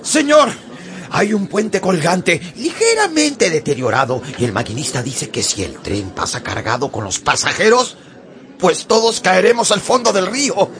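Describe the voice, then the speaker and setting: An actor playing an anxious, loyal manservant in a radio drama, agitated and prone to repeating himself. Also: male voice, high voice, very animated, normal speed, crowd noise. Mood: alarmed, breathless report. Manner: agitated, repeats words, exclamatory. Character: excitable, anxious loyal French manservant to an English gentleman. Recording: music behind the voice.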